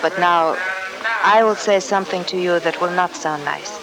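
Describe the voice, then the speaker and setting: An actress playing a guarded young cafe owner's daughter in 1940s radio style, speaking in formal, measured phrases. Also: female voice, fairly low pitch, natural voice, low-quality recording. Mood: poised. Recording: old radio recording, loud crowd behind.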